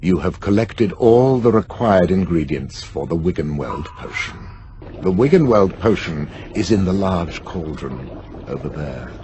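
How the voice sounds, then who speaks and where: A man's voice: sits low, full character voice. A man with an English accent playing a stern, disdainful middle-aged potions professor in a video game, speaking precisely. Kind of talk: video game cutscene acting. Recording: noisy game audio.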